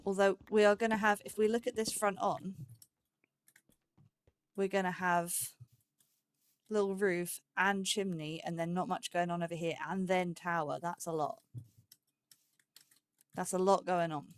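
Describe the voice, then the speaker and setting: A woman's voice: fairly low pitch, fairly even intonation, a little slow, pauses often. A woman giving casual let's play commentary, musing aloud and questioning herself as she goes. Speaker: host of a gaming let's play series.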